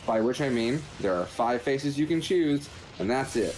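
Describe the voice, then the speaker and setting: A man's voice: medium pitch, expressive, normal speed, over a noisy crowd, low-quality recording. A man casually commentating over gameplay footage, explaining things in a joking, meandering way. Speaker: podcast host reviewing a video game.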